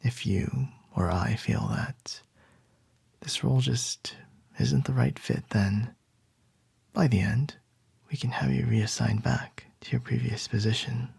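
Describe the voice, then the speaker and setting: A man playing a calm, charming male CEO boss in an ASMR roleplay, soft and reassuring with a light teasing edge. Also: medium pitch, slow, vocal fry, slightly rough, dry recording, heavily acted. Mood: soothing.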